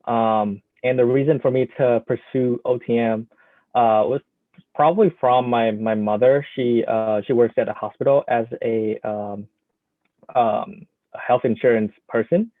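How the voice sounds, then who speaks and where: Young man, hesitant, halting fillers, tinny phone-like sound, dead dry audio. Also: mid-range voice, steady tone, no room sound. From Vietnam. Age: young adult. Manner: hesitant, many pauses and fillers. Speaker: student scholarship recipient.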